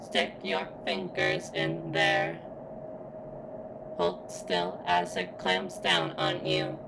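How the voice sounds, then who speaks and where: A woman playing a formal, vulnerable, flirtatious sentient surveillance robot in an ASMR roleplay, with stilted, halting phrasing and a pained strain. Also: a bass voice, level tone, noisy background, exaggerated voice. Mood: strained, embarrassed.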